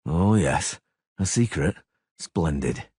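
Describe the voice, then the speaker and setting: A male narrator voicing a weary grown monster hunter who speaks in a curt, dry, gruffly sarcastic way. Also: very deep voice, lively intonation, slow, mellow, dry recording, full character voice. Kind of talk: audiobook character voice.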